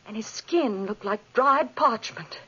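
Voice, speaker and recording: An actress playing a frightened, sincere young wife, halting and breathless, in 1940s radio drama style. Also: female voice, fairly high pitch, lively intonation, bright, forceful tone, breathy edge, full character voice. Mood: shaken. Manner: breathless, halting, repeats words. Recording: muffled 1940s radio recording.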